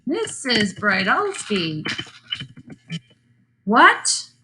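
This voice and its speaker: A woman voicing an innocent little girl in a fairy tale, with a deliberate, wondering delivery. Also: a little high, huge pitch swings, noisy background, exaggerated voice.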